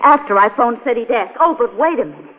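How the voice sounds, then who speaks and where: A woman's voice: a little high, animated, quick pace. An actress playing an inquisitive female reporter companion in a 1940s radio drama. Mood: inquisitive, matter-of-fact. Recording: narrow old radio recording, music behind.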